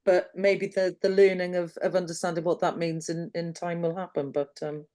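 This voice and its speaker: A woman with a fairly low voice talks plainly and agreeably in a casual discussion group. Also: level tone, normal speed, warm tone.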